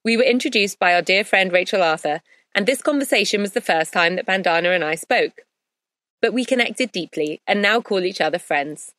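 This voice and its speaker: A woman hosting a podcast who gives a measured, upbeat scripted introduction and then asks interview questions. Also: medium pitch, animated, a bit bright, dry recording. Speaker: podcast host.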